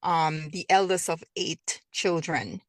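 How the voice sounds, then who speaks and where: A woman in her fifties from Guyana, a guest in an interview, speaking softly and hesitantly with many pauses. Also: low voice, animated, no room sound, studio quality. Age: 50s. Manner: soft-spoken, hesitant with frequent ums. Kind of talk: casual interview talk.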